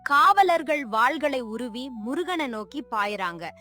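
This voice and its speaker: A female narrator with a high voice delivers scripted, dramatic storytelling. Light music plays beneath her narration. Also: expressive, slow, bright, forceful tone.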